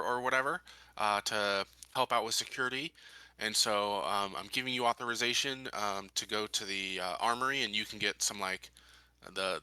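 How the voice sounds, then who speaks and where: Man playing tabletop rpg, really sharp bright voice but on the low side, halting delivery, dry sound. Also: clean tone, no echo. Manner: halting, tentative phrasing. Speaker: player in a roleplaying game. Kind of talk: improvised in-character tabletop play.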